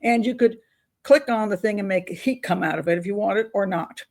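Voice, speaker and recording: A woman's voice: mid-range voice, a little fast, darkish tone. An older woman hosting a casual advice vlog, talking in a chatty, candid, rambling and self-deprecating way. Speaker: advice show host on YouTube.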